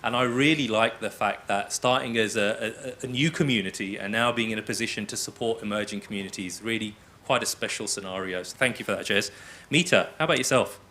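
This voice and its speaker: A man moderating a panel, his voice bright and forceful but pitched somewhat low. He affirms each speaker and sums up their points, with a faint hiss behind him. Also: a little fast, few pauses. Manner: warm, affirming and summarizing.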